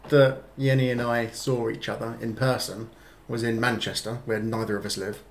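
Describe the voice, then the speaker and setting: A man's voice: mid-range voice, flat delivery, normal speed, vocal fry. A man co-hosting a casual podcast chat, telling stories in a rambling, self-deprecating way with many fillers. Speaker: podcast co-host.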